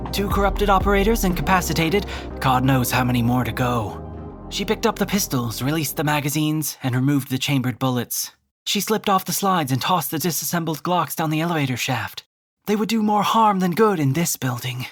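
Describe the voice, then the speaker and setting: Male audio drama narrator over music. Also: fairly high pitch. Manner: dramatic, deliberate storytelling.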